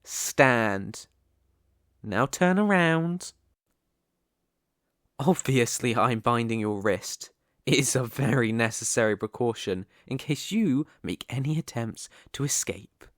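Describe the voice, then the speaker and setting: A man's voice: medium pitch, expressive, no echo. Man acting as a wary, stern elf hunter, close and dry. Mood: stern, guarded. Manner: stern, indignant, halting when baffled. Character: wary male elf hunter, proud, hostile to humans. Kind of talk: ASMR roleplay acting.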